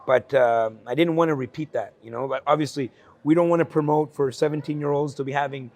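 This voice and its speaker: A man in his forties, originally from El Salvador, talks through his life story in an earnest, unpolished way, full of fillers and quick laughs. His voice is gravelly and rough-edged, sitting somewhat high for a man. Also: fairly even intonation, darkish tone. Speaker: guest entrepreneur. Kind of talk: candid conversational storytelling. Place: investment conference.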